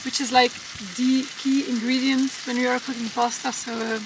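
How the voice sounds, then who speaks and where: High-voiced cheerful young woman vlogging. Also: little pitch movement, moderate pace, background noise. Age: young adult. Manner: cheerful, chatty, addresses viewers directly. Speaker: travel vlogger. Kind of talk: casual travel vlog talk to camera. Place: outdoors by a road and a lake. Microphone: handheld camera at arm's length. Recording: music under the voice.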